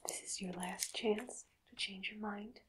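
A woman whispering softly and breathily in a deliberate, hypnotic ASMR medical roleplay as a doctor. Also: medium pitch, fairly even intonation, a little hiss or hum. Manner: slow, soft, deliberate, hypnotic. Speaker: ASMR performer playing a doctor.

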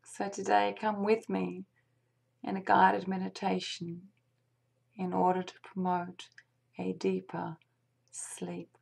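A woman leading a guided meditation in a hushed, breathy, half-whispered voice, speaking gently and deliberately with long pauses. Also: mid-range voice, monotone.

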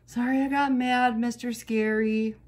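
A woman's voice: fairly high pitch, steady tone, measured pace, darkish tone, voice pushed up, lightly acted. A woman voicing a feisty, stubborn young schoolgirl in a storybook, with childish grammar and emphatic delivery. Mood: sheepish.

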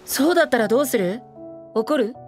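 A man's voice: very high-pitched, animated, a little fast, faint music behind. A man playing a bitter, self-deprecating teenage boy idol and former child star in a Japanese audio drama. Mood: provocative. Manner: cheeky, self-deprecating, theatrical.

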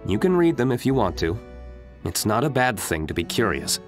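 A man voicing a cool, reserved young nobleman in a video game, speaking calmly and formally. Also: lowish voice, normal speed. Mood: calm, reassuring. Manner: calm, terse, formal. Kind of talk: video game character dialogue. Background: game music.

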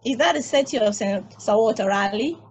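A woman voicing a cynical, grumpy space probe who answers bluntly and dismissively. Her voice is mid-pitched and husky. It is read into a home video-call mic. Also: brisk, a little mellow.

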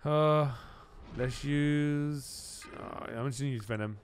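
A man giving excited video game commentary, repeating phrases and exclaiming in informal slang. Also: fairly high pitch, slow, slight background noise. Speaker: gaming channel host. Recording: music behind the voice.

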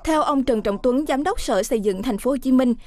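A woman reading scripted news voice-over in a clear, steady way. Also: high-pitched, brisk.

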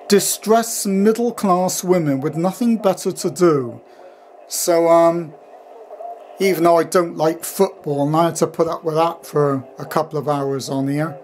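Middle-aged male hobbyist, slightly high voice, informal and rambling demo talk, handheld camera indoors with faint hum. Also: darkish tone. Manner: rambling, matter-of-fact asides. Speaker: vintage radio hobbyist presenting own collection. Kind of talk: informal home video demonstration. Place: room at home, indoors. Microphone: handheld camera, speaker behind it. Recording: handheld camera audio with clear hum noise. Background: electrical hum from old radio equipment.

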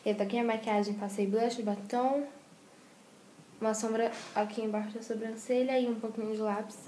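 A woman's voice: fairly high pitch, moderate pace, a little hiss or hum. Chatty teen girl vlogging on a phone. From Brazil. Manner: chatty, animated, slangy. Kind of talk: casual day-in-the-life vlog. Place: bedroom and shopping mall, indoors. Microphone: handheld phone camera at arm's length.

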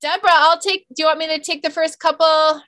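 Woman host, high bright voice, dry close sound, video call. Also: steady tone, fast, no echo. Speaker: open mic co-host. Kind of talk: casual hosting. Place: home music room.

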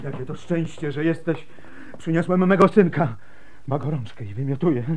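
A man's voice: a little high, moderate pace, darkish tone, slight background noise, natural voice. An actor playing an anguished, devoted young widowed father in a radio drama, grave, emotional and pleading. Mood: frightened, anguished.

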